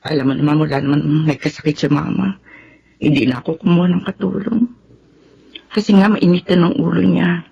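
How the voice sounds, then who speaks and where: A woman's voice: fairly low pitch, steady tone, fairly quick, creaky, warm tone. Grieving young woman, muffled radio drama. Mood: weary, sorrowful. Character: grieving, grateful adult woman, Remedios, orphaned landowner. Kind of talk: Filipino radio drama acting. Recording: dull radio recording with background noise.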